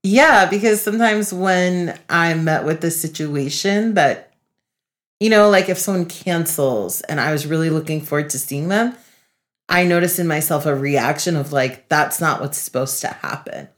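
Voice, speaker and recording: Woman podcast cohost, really low voice, earnest n thoughtful casual chat. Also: moderate pace. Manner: earnest, introspective. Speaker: podcast co-host, facilitator and speaker.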